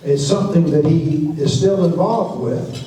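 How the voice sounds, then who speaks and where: A man hosts a club lecture in a relaxed, chatty manner, his voice mellow and slightly raised. He sounds distant from the mic in a very echoey hall. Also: fairly high pitch, unhurried, slight background noise. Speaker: lecture series moderator. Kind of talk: introducing and questioning a guest.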